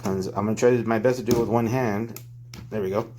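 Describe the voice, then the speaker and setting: A man's voice: lowish voice, steady tone, quick pace. A man giving an informal, loose hands-on product review, recorded on a handheld phone. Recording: clear background noise, handling clicks.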